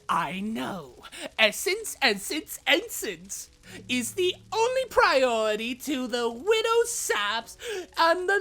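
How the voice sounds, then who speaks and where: A woman playing a sly, mocking schemer in a fantasy audio drama, with theatrical, drawn-out delivery over music. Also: fairly high pitch, a bit bright, heavily acted. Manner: theatrical, drawn out, swooping from whispers to cries. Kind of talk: fantasy audio roleplay acting. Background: music behind the voice.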